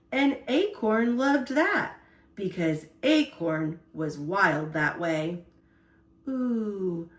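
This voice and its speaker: A woman storyteller reading a children's picture book aloud in an expressive, deliberate way. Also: on the high side, roomy sound.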